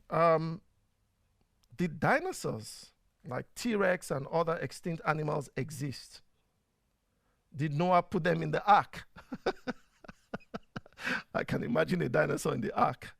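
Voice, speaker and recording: This is a man with a Nigerian accent who hosts a casual online show. He sits a little high in pitch with a gravelly edge, and his voice sounds close and dry, with no room around it. He talks in a chatty way, full of fillers. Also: a bit bright, dry recording. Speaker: host. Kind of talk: casual live-stream talk.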